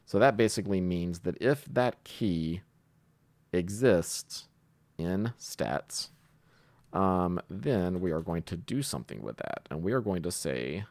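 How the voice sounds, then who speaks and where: Man, tutorial host, very low voice, creaky fry, casual explaining, halting think-aloud delivery. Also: lively intonation, a little mellow. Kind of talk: casual screencast coding tutorial, explaining while typing.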